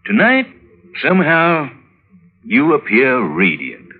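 An actor playing an earnest, courtly married gentleman who sweet-talks, in an old-time radio drama. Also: mid-range voice, huge pitch swings, slow, breathy edge, exaggerated voice. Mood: courtly, romantic. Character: smooth-talking, pleading married gentleman adulterer. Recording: narrow-band vintage radio recording.

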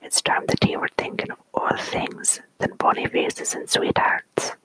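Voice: moderate pace, sharp tone, no echo, lightly acted, low-quality recording. A storyteller whispering the part of a stern, sensible old village granny who scolds with folksy sayings. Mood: scolding.

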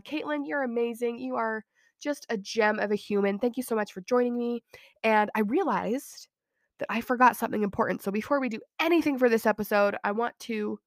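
A woman's voice: fairly high pitch, fairly bright tone, dry recording, studio quality. Giggly woman host with a cold. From Utah, USA. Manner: chatty, giggly, self-deprecating. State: has a cold. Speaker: podcast host. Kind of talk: casual solo podcast chat.

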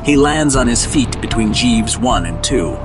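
A man's voice: mid-range voice, lively intonation, measured pace, over loud music. A man delivering dramatic scripted narration as the narrator of an audio drama.

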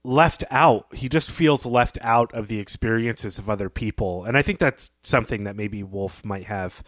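A man's voice: on the low side, moderate pace, fairly bright tone, no echo, studio quality. A man co-hosting a podcast, talking through a story in a thoughtful, analytical and articulate conversational way. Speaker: podcast co-host. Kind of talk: conversational literary discussion.

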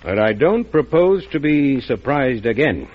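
An actor playing a cool, scheming financier in an old-time radio drama, with a husky voice. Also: male voice, mid-range voice, very animated, measured pace. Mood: smooth, scheming. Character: cool, calculating male financier, scheming businessman. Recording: muffled vintage radio recording.

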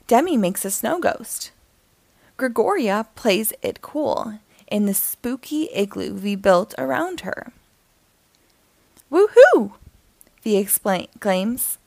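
Slow, high-voiced woman reading a storybook. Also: gravelly, no echo. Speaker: storyteller reading picture books aloud. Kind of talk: children's read-aloud narration.

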